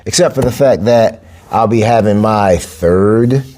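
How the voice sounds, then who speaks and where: A man, hosting a solo podcast as a boxing and capoeira coach. He talks emphatically, repeating phrases and jumping up in pitch to drive points home. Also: unhurried, warm tone. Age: 40s. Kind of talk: casual solo podcast monologue.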